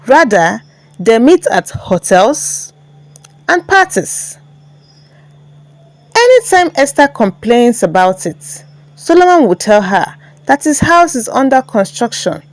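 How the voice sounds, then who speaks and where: Nigerian lady telling a dramatic tale, really expressive, takes her time, dry close sound. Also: medium pitch, no echo. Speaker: storyteller. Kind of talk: dramatic scripted folktale narration.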